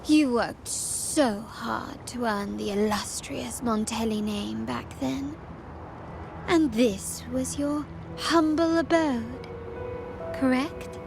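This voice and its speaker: A silky game villainess with a fairly high voice. She speaks slowly and deliberately, with theatrical mockery in her words. Game music plays prominently behind her. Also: creaky. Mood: mocking. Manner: mocking, theatrical, slow and deliberate. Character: taunting, silky villainess born from a heroine's consciousness. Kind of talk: video game voice acting.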